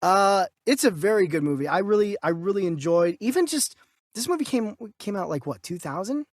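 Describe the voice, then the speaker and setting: Male podcast co-host, thirties, high-pitched voice, dry close sound, rambling and enthusiastic in casual movie talk. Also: animated, brisk, dry recording. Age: 30s. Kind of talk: casual film review chat.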